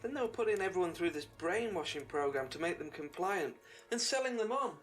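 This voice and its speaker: An actor playing an agitated, smug conspiracy theorist in a mystery video game, emphatic and know-it-all. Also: male voice, sits high, expressive, fairly quick, soft background music. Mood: smug, heated. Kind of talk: FMV mystery game acting.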